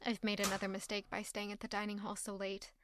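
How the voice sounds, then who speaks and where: A woman's voice: mid-range voice, completely flat delivery, fast talker, background noise, natural voice. An actress playing a stubborn, guarded young servant woman in a castle audio drama, curt and sarcastic beneath formal politeness. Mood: tense narrating. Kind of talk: audio drama acting with first-person narration.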